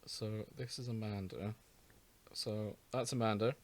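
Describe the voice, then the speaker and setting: A man's voice: on the low side, fairly even intonation, a little slow. A man co-hosting a podcast, chatting casually about fan fiction. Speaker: podcast co-host.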